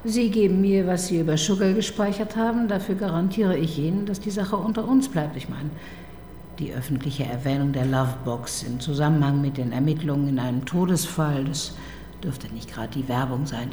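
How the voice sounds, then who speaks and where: A woman's voice: deep voice, dark tone, a little hiss or hum, lightly acted. A woman playing a wry middle-aged detective. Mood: dry, skeptical. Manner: ironic, needling, quick to tease. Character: wry, probing married woman police detective. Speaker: detective chief inspector. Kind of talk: radio crime drama acting.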